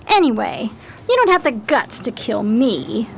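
An actress playing a chic con artist with a phony upper-crust American accent and haughty, la-di-da airs in a 1920s crime radio drama. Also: female voice, fairly high pitch, sing-song, a little slow, vocal fry, a little hiss or hum, exaggerated voice. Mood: haughty, defiant. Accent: American, affected upper-crust.

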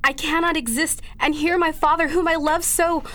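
A woman playing a dutiful, anguished young daughter in a period radio drama, speaking earnestly and haltingly in formal old-fashioned phrases. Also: very high voice, fairly even intonation, a bit bright. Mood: distraught.

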